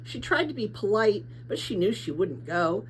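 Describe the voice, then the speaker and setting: A woman reading a children's novel aloud as an expressive storybook narrator. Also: mid-range voice, moderate pace, slight background noise, poor audio. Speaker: storybook reader.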